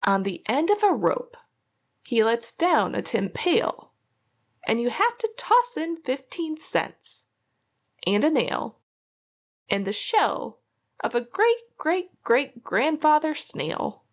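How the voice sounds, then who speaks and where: A woman reading a rhyming children's storybook aloud in an expressive, theatrical way. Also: high voice, takes it slowly. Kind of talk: read-aloud storytelling of a rhyming picture book.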